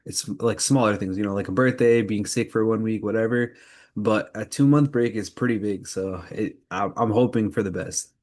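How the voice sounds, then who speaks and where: A man's voice: lowish voice, moderate pace, darkish tone. A man co-hosting a podcast, leading a casual news roundup in informal speech. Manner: informal, leads the segments. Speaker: podcast co-host. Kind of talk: casual news roundup chat about anime.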